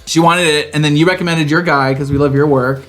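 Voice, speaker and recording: A man's voice: on the high side, steady tone, fast talker, fairly bright tone, faint music behind. A man co-hosting a podcast with casual, chatty banter and a campy, sassy way of talking. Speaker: podcast co-host. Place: home garage set up as a studio.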